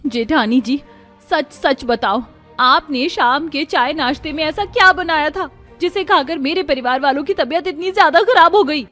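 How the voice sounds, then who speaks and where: A woman's voice: sits high, normal speed, pushed and bright, faint music behind, pitched above the performer's own voice. A woman voicing a caring, anxious younger daughter-in-law in a cartoon, pleading with concern. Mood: upset, questioning.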